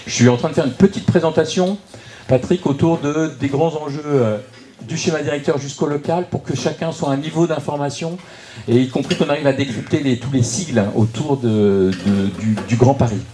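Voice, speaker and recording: A man giving an unscripted explanatory presentation at a public meeting, speaking haltingly and often repeating words as he searches for phrasing. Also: on the high side, warm tone. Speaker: local political speaker introducing a debate.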